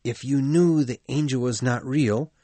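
A man's voice: mid-range voice, measured pace, warm tone, no room sound, voice pushed up. A man voicing a young, anxious and jealous suitor in an audiobook, asking earnest pleading questions. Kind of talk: audiobook dialogue acting.

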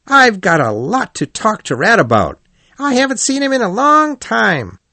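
Guy doing a cocky chatty otter, high voice, swoops all over, storybook style. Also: sing-song, normal speed, creaky, pushed and bright, dry recording, heavily acted. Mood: boastful, cheerful. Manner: swaggering, exclamatory, talkative. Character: bold, boisterous male otter, confident and chatty.

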